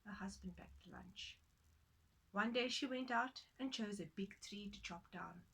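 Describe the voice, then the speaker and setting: A woman's voice: mid-range voice, a little slow, vocal fry, sharp tone, slightly rough. A woman storyteller narrating a folktale for children, dramatic and playful in her delivery.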